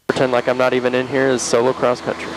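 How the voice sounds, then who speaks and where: A man flight instructor calmly coaching and encouraging a pilot in a casual way inside a small plane cockpit. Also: medium pitch, quick pace. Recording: noisy small-plane cockpit audio, engine drone. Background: aircraft engine hum.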